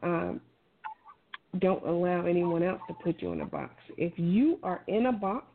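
A woman's voice: lowish voice, sing-song, a little slow. A middle-aged woman talking casually, giving emphatic, encouraging advice in a colloquial way. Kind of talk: casual conversational talk, advice. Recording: thin phone-like sound with clear noise.

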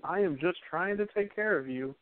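A man's voice: high voice, moderate pace, smooth, no room sound, natural voice. A man playing an eager, protective young newspaper assistant in a 1920s radio play. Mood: exasperated, protective. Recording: tinny, dry narrow-band audio.

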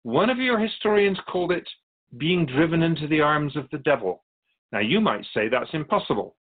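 A man's voice: fairly high pitch, animated, phone-quality sound. A man playing a wise otherworldly keeper of souls in a radio drama, courteous, philosophical and wry as he tells stories. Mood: grave, earnest. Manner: measured, philosophical, gently challenging. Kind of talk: radio drama dialogue, storytelling.

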